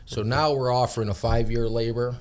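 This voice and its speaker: A man from upstate New York with an American accent speaks as an interview guest in a radio studio. His pitch is average, and he explains things in a casual conversational style. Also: fairly even intonation, brisk. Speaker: interview guest, regional sales manager.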